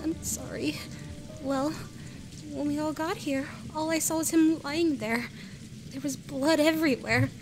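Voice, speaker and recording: A woman's voice: sits high, fairly even intonation, measured pace. A woman playing a timid, shaken young witness in an amateur radio play. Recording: music and rain under the voice.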